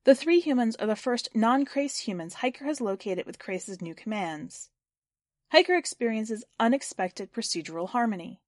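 A woman's voice: medium pitch, a little mellow, no room sound, studio quality. A woman reading scripted fiction and hosting a story podcast. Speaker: podcast host and story reader.

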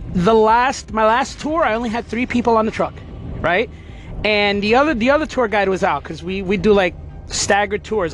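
A man hosting a podcast, talking casually and emphatically in an energetic, motivational way. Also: sits high. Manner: emphatic, energetic, pep-talk delivery. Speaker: podcast host.

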